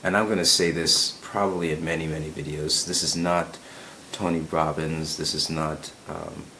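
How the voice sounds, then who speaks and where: A man's voice: very deep voice, level tone, normal speed, gravelly, sharp tone, slight background noise, poor audio. A man talking in a casual, musing monologue, often checking in with "right?" and repeating words as he thinks. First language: English. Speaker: self-help video host.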